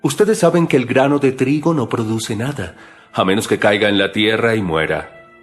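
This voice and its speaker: A man playing a calm, authoritative teacher, speaking solemnly and with measure in a dramatized audio Bible. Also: lowish voice, normal speed, soft background music, lightly acted.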